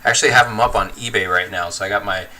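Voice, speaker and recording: A man hosting a livestream, chatting casually and reading viewer comments in a relaxed, easygoing way, laughing often and thinking aloud with fillers. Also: lowish voice, very fast, a little hiss or hum. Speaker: livestream host.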